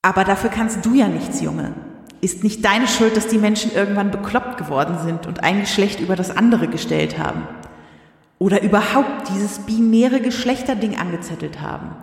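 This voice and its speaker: The voice rings with long reverb in an echoey hall. It is God as a down-to-earth, indignant woman, acted by a woman in an audio drama, grumbling colloquially with a sardonic edge at a middling pitch. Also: animated, normal speed, natural voice. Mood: exasperated. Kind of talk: audio drama acting, conversational monologue.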